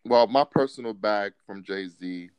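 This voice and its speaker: An American man chatting casually as a music podcast co-host, slangy and measured, with a teasing streak. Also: lowish voice, clean tone, dry recording, dull audio. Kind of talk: casual hip-hop debate chat.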